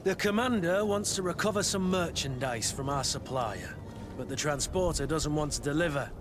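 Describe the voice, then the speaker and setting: An actor playing a blunt, world-weary but loyal mercenary bodyguard in a video game, with dry delivery. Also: male voice, on the high side, animated, moderate pace, background noise, close to an everyday voice. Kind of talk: video game cutscene acting.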